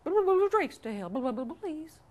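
A storybook river with a high, burbling voice. She babbles streams of nonsense sound and pleads eagerly in between. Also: very animated, fast. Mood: pleading. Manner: babbles repeated blah sounds between words.

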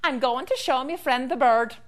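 A woman playing an eager, high-voiced leprechaun shoemaker. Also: moderate pace, natural voice. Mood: excited, proud. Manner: exclaiming, old-fashioned Irish turns of phrase. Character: cheerful, kindly male leprechaun shoemaker, eager to help.

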